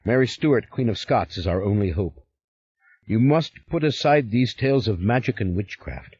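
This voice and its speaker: A man's voice: on the low side, expressive, moderate pace, a little mellow, slightly rough, no room sound, pitched above the performer's own voice. A man voicing a stern, devout older father who speaks in a commanding, solemn way. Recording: slightly muffled recording.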